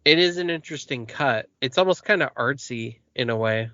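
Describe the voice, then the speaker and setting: A man's voice: medium pitch, animated, a little fast, pushed and bright, no room sound. Enthusiastic joking man, dull mic. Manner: enthusiastic, joking, many fillers. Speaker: podcast co-host. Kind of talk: casual chat.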